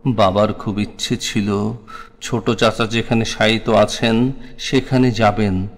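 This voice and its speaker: A man with a Bangladeshi accent reciting a scripted memoir in a solemn, literary and expressive manner. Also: lowish voice, takes it slowly, slight background noise. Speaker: narrator of a war memoir. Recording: long reverberant echo on voice.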